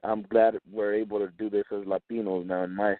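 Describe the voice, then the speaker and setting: A man's voice: lowish voice, little pitch movement, brisk, dry recording, narrow, tinny audio. A man in his twenties from Mexico, a native Spanish speaker, chatting casually and talkatively in slangy English as an interviewed rapper. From born in Chihuahua, Mexico; lives in West Texas. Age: 20s. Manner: slangy, talkative, frequent "man" and "you know what I'm saying".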